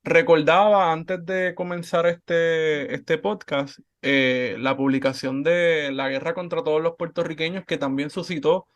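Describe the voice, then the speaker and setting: A man's voice: on the high side, fairly even intonation. Earnest man hosting an interview. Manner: earnest, measured, with frequent fillers. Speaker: podcast host. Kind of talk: conversational interview.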